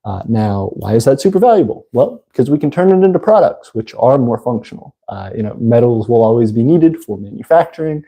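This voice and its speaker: A man with a congested voice, hosting a casual livestream and talking haltingly with many fillers. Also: mid-range voice, expressive, warm tone, slightly rough. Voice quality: congested, nasal. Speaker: livestream host. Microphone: phone.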